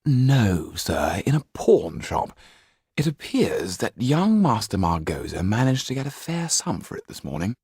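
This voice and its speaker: Guy doing a proper servant valet for an audiobook, very formal and deferential, pitched up a bit, dry recording. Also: sing-song, measured pace, darkish tone, slightly rough, no echo, heavily acted. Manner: formal, precise, servile. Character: deferential, unruffled male valet reporting to his master.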